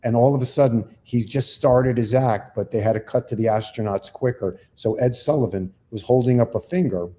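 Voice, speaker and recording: A man's voice: mid-range voice, brisk, few pauses, dark tone. An older man, a comedian co-hosting, telling rambling, self-deprecating stories in casual chat. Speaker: comedian co-host.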